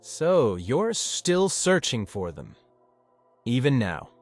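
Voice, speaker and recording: A man voicing a dismissive, condescending man in an audiobook drama, speaking in a measured way with pauses. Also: medium pitch, wildly swooping pitch, no echo, soft background music, pitched above the performer's own voice, heavily acted. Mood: probing. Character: condescending, dismissive man arguing with old classmate.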